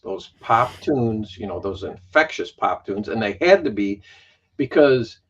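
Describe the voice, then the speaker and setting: A man's voice: mid-range voice, muffled recording. A man in his sixties, a guest on a livestream, telling stories at an easy pace with lots of "you know". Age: 60s. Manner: storytelling, unhurried, with many "you know" asides. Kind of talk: casual chat.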